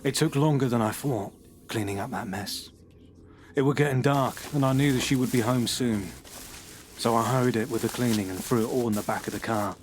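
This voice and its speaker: An actor playing a troubled, unwell man who confesses to the police in a halting, hushed voice with nervous laughs. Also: mid-range voice, a little hiss or hum, lightly acted. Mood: haunted. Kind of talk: audio horror drama.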